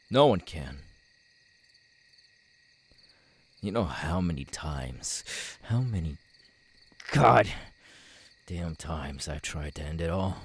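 Man voicing a deep, husky, unhinged killer. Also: full character voice. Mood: bitter, despairing. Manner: halting, brooding, bursting into anguished shouts. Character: tormented, unhinged immortal young killer, menacing and bitter. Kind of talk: ASMR audio roleplay acting.

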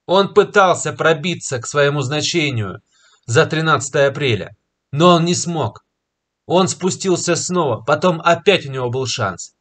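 A young man who is slightly ill and clears his throat now and then, confidently talking viewers through a price chart, recorded on a webcam in a small room. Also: on the high side, lively intonation, fairly bright tone. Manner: confident, persuasive, pausing with filler words. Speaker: crypto market commentator. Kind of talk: screen-share market analysis to camera. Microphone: webcam in a corner of a screen recording.

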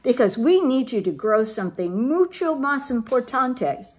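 A bossy, eager little chihuahua acted out by a woman in a children's storybook. The comes with very lively swings of pitch. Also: moderate pace. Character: small chihuahua circus buddies, bossy and eager.

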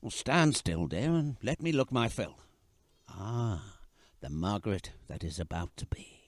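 A man's voice: lowish voice, lively intonation, a little slow, dry recording. A man playing a doting, whimsical and anxious father in a radio play, fond and fussing. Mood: fond, uneasy.

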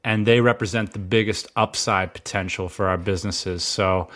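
A man's voice: deep voice, fairly even intonation, moderate pace, a bit bright. A man in his thirties co-hosting a business podcast, teasing his partner and laughing often. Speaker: podcast co-host. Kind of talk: casual business podcast chat.

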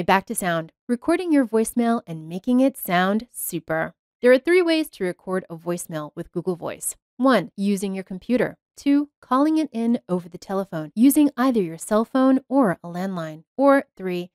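A woman's voice: medium pitch, darkish tone, dry recording. Woman narrating voicemail tips, dry and close. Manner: clear, measured, professional delivery. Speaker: voice-over artist explaining voicemail tips. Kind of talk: scripted instructional narration.

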